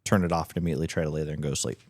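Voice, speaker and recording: Deep fast-talking wry man. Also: fairly even intonation, darkish tone, no echo. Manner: self-deprecating, wry. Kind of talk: casual podcast chat.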